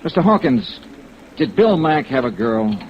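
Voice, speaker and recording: An actor playing a hard-boiled insurance investigator with a clipped, terse noir delivery in old-time radio detective style. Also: male voice, on the high side, very animated, a little slow. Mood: probing, pressing. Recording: muffled, noisy old radio recording, rain ambience.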